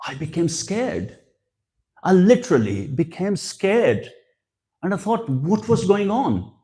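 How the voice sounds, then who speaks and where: A man's voice: high voice, sing-song, takes it slowly, mellow. A man from Pakistan, giving a religious lecture that mixes advice with personal stories and repeats key phrases for emphasis. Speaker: guest speaker at a religious gathering.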